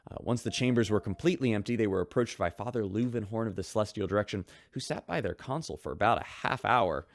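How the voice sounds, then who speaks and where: A man hosting a radio show in scripted narration, with smooth broadcaster delivery. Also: fairly low pitch, animated, fast talker, no echo.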